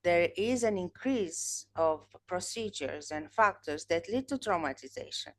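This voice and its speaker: A woman in her thirties, whose native language is Romanian, hosts a podcast and talks with a guest over a webcam video call from a room at home. Her voice sits on the low side, and she speaks earnestly, often restarting her sentences. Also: lively intonation, a little slow. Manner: thoughtful, earnest, with frequent restarts. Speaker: podcast host. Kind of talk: casual interview chat.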